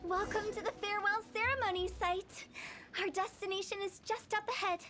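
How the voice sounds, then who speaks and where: A mischievous young woman who runs a funeral parlor, with a very high, bright voice. She teases and chuckles her way through her lines. Clear game music plays underneath. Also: fairly even intonation, fairly quick. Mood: guide-like. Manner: teasing, mischievous, laughs often. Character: playful young female funeral parlor director.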